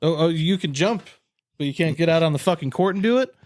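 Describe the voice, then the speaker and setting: A man's voice: on the high side, animated, quick pace, darkish tone, dry recording, studio quality. Man ranting with angry profanity. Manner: profane, venting rant. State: frustrated. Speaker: podcast co-host. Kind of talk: casual sports chat.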